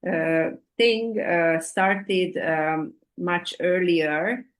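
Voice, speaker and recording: A woman, answering audience questions in a halting way, full of ums and uhs, over a video call. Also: on the low side. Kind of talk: hesitant Q&A answers, many fillers.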